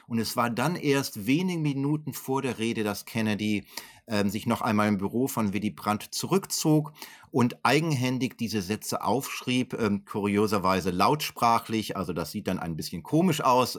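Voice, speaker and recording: A man speaking as an expert in an interview, explaining in educated, articulate phrasing with frequent hesitations and self-corrections. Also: mid-range voice. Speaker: historian being interviewed as an expert. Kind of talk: explanatory interview answers, unscripted.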